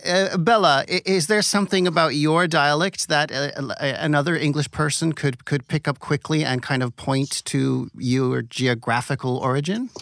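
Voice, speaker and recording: A man hosting a podcast interview, measured in tone and a little halting when asking questions. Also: fairly high pitch, steady tone, creaky, fairly bright tone, no echo, clean studio sound. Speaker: podcast host.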